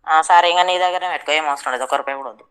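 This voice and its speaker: A woman's voice: low-pitched, racing, a bit bright, clear, resonant tone. A woman with a Telangana accent in a casual phone conversation, talking bluntly and argumentatively. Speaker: friend on the receiving end of a prank call.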